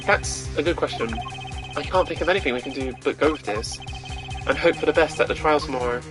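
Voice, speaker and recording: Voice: mid-range voice, fairly quick, over music, lightly acted. A streamer voicing an earnest, hesitant young male defense attorney in a video game, wry and unsure. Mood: reflective. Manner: unsure, wry, narrating thoughts. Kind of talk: amateur voice acting of a visual novel game.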